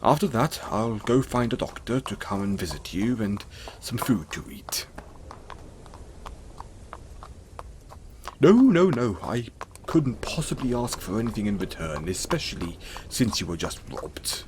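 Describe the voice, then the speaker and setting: Guy doing a kind centaur rescuer for audio roleplay, lowish voice that moves around a lot, gentle n hesitant, bit of noise behind. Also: sing-song, moderate pace, rough recording. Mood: warm, modest. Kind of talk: scripted audio roleplay, speaking to the listener.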